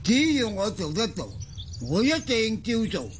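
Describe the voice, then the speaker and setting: Solemn old tribe shaman for radio drama, high pitched, really drawn out and theatrical. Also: sing-song, unhurried, a little hiss or hum. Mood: earnest. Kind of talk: Cantonese radio drama acting.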